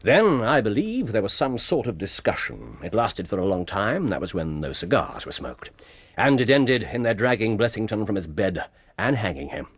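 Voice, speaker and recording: A brilliant English private detective in a radio drama. His voice is low and husky, yet highly animated in its rise and fall. He is incisive, brisk and utterly self-assured. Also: full character voice. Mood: methodical. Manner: incisive, precise, authoritative. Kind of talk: BBC radio drama acting.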